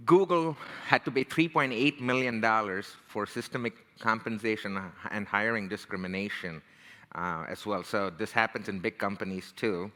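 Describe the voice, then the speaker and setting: A man's voice: lowish voice, normal speed, sharp tone, big-room sound. A man from India with an Indian accent, giving a conversational talk and often checking in with "right?" and "you know". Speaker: speaker. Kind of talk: motivational talk.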